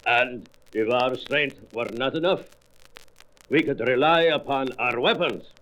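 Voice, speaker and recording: A man's voice: medium pitch, fairly bright tone, natural voice. A man playing an arrogant, commanding alien invader made of stone in a comic-book audio drama, with pompous, boastful delivery. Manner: slow, pompous, boastful declamation. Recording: faintly noisy old record.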